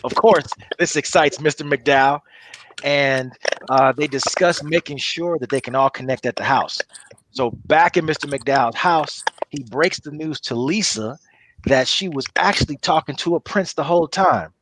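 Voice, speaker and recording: Higher-pitched man narrating a script. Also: normal speed, noisy background. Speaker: narrator of a table read. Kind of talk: scripted narration with loose improvised asides.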